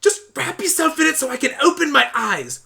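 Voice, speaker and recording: A man's voice: very high voice, sing-song, fairly quick, vocal fry, sharp tone, pitched above the performer's own voice, heavily acted. A man voicing a brainy, flustered, eccentric time-traveling doctor, wordy and pedantic, in a fan audio drama.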